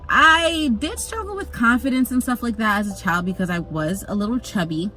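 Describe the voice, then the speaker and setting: A woman's voice: fairly high pitch, normal speed, soft background music, poor audio. Chatty young woman vlogging. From Jamaica. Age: young adult. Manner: chatty and animated, jokey asides. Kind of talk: casual personal storytelling.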